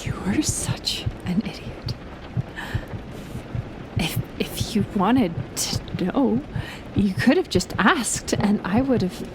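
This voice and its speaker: A woman playing a shy, teasing young woman secretly in love with her best friend, speaking playfully and hesitantly in breathy half-whispers in an intimate audio roleplay. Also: mid-range voice, lively intonation, takes it slowly, long pauses, background noise. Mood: exasperated, fond. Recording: rain and thunder sound effects behind. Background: rain and thunder.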